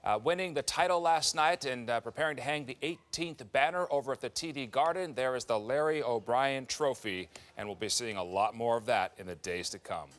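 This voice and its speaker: Mid-pitched male news anchor. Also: a little fast, hardly any pauses, fairly bright tone.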